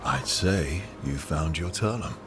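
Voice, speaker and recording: An actor playing a calm, supportive, gently wry male hero in video game dialogue, giving short, unhurried replies. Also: rumbling low. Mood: tender. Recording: music under dialogue.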